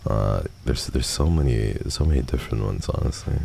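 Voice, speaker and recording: A man's voice: a bass voice, moderate pace, vocal fry, dark tone. A man hosting a livestream, chatting casually and answering viewer messages at a close microphone. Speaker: livestream host. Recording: faint background noise.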